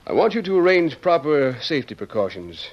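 An actor playing a dignified older US President in a vintage radio drama, speaking in a measured, formal, authoritative way. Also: male voice, fairly high pitch, very animated. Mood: stately, deliberate. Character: older male US President, firm, dignified, brooking no argument. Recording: dull old radio recording.

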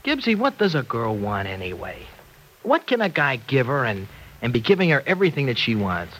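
Boyish, slangy young lover for vintage radio drama: mid-pitched, very animated, earnest and halting; noisy old recording. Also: male voice. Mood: earnest, bashful. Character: earnest, slangy young working guy in love. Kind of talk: 1940s radio drama acting.

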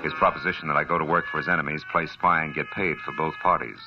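An actor playing a wisecracking hard-boiled private detective who narrates a 1940s radio drama. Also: male voice, rumbling low, fairly even intonation, fast. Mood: wry. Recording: dull old radio recording with music.

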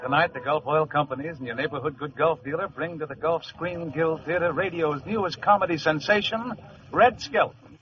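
Higher-voiced 1940s male radio host. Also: moderate pace, a little hiss or hum. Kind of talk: 1940s radio hosting, scripted introduction. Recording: muffled old radio broadcast.

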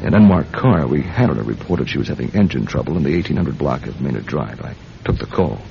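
An actor playing a hard-boiled American intelligence agent in a radio drama, narrating and talking tersely. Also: male voice, very deep voice, expressive, fast talker, vocal fry, noisy background, exaggerated voice. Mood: measured, grave. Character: American intelligence agent narrating his own case, tense, smitten. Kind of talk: radio drama acting, first-person narration. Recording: dull radio drama recording, music underneath.

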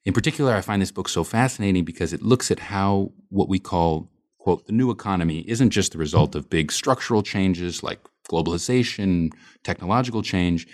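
A man's voice: sits low, brisk. A man hosting an academic book podcast, introducing his guest earnestly with frequent ums and uhs. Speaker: podcast host. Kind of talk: scripted introduction then interview questions.

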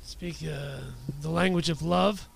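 A man playing a tabletop game, making goofy deadpan jokes. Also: fairly high pitch, a little slow, vocal fry, a little hiss or hum. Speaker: player. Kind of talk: casual tabletop banter.

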